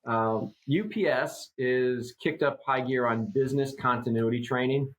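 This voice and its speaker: A man's voice: medium pitch, a little slow, a little hiss or hum, low-quality recording. A man in his fifties co-hosting a trade podcast, talking through news in a rambling, conversational way. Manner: rambling, conversational, many fillers. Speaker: co-host. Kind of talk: casual podcast chat.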